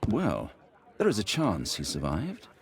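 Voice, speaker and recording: An actor playing a helpful, learned doctor, measured and clinical, in a video game. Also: male voice, lowish voice, huge pitch swings, husky, exaggerated voice. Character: helpful, learned male doctor, detective's companion. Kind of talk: video game cutscene acting.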